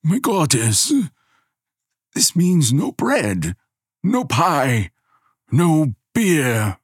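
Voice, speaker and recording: A man voicing a weary, humble elderly village grandfather who speaks deferentially and gently. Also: on the high side, huge pitch swings, drawn-out words, breathy edge, no echo, studio quality, voice pushed up, heavily acted. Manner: deferential, soothing.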